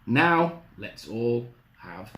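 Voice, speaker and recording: A storyteller voicing a feisty, excitable old woman, exclaiming dramatically. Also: mid-range voice, drawn-out words, full character voice. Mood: relieved, jolly. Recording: roomy, echoing room.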